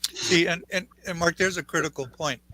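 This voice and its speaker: A man's voice: on the high side, moderate pace, bright, forceful tone, no echo, low-quality recording. A man on a live finance panel who explains points emphatically, repeating words as he goes.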